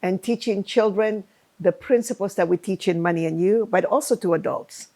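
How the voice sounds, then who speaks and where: Gravelly woman guest, emphatic. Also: medium pitch, normal speed, darkish tone. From Chile. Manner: emphatic, speaks in short bursts. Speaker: guest, program founder. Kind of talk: conversational interview.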